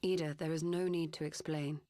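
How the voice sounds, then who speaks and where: Woman acting composed low-voiced fantasy adventurer. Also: steady tone, mellow, natural voice. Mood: gentle. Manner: measured, composed, formal phrasing. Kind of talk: formal fantasy game voice acting. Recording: game audio under prominent music.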